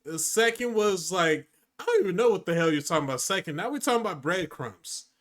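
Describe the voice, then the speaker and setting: A man's voice: sits high, animated, fairly quick, a bit bright. A young man giving slangy, casual commentary as a reaction video host, exasperated and incredulous, often repeating himself.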